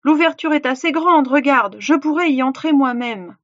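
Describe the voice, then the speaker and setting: A wicked old fairy-tale witch, acted out by a woman storyteller, pitched high and full of impatient command. She scolds and orders like someone used to being obeyed. The sound is dry and a little muffled. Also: expressive, moderate pace, fairly bright tone, no echo. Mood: scornful.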